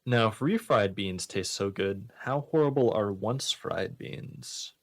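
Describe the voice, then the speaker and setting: A man's voice: on the low side, takes it slowly. A man hosting a comedy radio show, reading out one-liner jokes and bantering casually.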